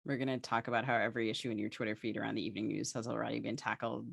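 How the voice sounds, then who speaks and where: A woman's voice: very deep voice, little pitch movement, racing, vocal fry, slightly rough, no room sound. A woman co-hosting a podcast, moving between casual chat and scripted introductions. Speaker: podcast co-host.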